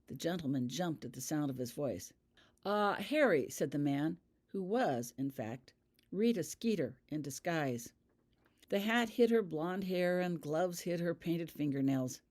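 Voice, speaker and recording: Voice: medium pitch, a little slow, a little mellow. Storyteller reading fiction aloud, mid-range voice. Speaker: author reading own fan fiction. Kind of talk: scripted audiobook narration.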